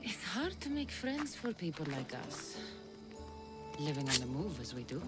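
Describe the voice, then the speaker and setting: An actress playing a bold young mercenary leader in a video game cutscene. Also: female voice, low-pitched, very animated, normal speed, loud music behind the voice. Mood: soft, warm. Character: bold young adult Greek mercenary woman, seafaring leader.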